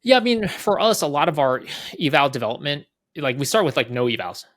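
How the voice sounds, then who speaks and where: A man's voice: on the high side, big pitch swings, fairly quick, fairly bright tone, dry recording, studio quality. A man giving casual interview explanations as a guest, thinking aloud and often checking in with "right?". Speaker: head of product, interview guest.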